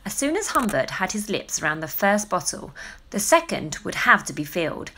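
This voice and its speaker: Animated, bright-voiced woman reading a children's story. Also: mid-range voice. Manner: dramatic, emphatic storytelling with drawn-out words. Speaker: storybook reader. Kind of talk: expressive children's story narration.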